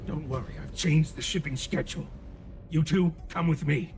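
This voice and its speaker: A man's voice: on the high side, normal speed, over music, natural voice. A man playing a scheming, impatient villain henchman in a video game, contemptuous and commanding. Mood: suspicious, dismissive.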